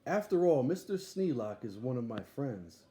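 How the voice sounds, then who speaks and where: A man's voice: mid-range voice, big pitch swings, moderate pace, warm tone, lightly acted. A man voicing an eager, boastful boy showman in a storybook, barking grandly like a ringmaster. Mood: breezy, assured. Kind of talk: storybook read-aloud character. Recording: echoey room, faint background noise.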